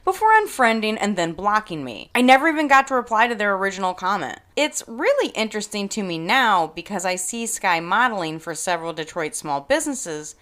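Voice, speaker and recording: A woman with an American accent hosting a podcast, reading scripted personal narration in an expressive, emphatic way. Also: medium pitch, a little fast, few pauses. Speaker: podcast host.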